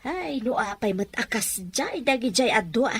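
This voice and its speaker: A highish voice that swoops freely. A woman plays a practical country mother in a radio drama, nagging and questioning her household. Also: lively intonation, moderate pace. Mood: resigned explaining. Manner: scolding, questioning. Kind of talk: family radio-drama acting.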